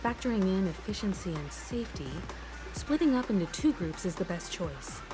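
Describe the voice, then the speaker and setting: Woman playing sensible game team leader, composed, measured, lively intonation, background music. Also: mid-range voice, close to an everyday voice, rough recording.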